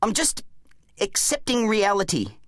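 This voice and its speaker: An exasperated boy hero in a storybook, acted by a woman. The voice sits well above a low natural register and swings around with earnest insistence. The sound is dry and close. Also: huge pitch swings, a little slow, no room sound. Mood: resigned, bitter. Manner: insistent, glum. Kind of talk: acted audiobook dialogue.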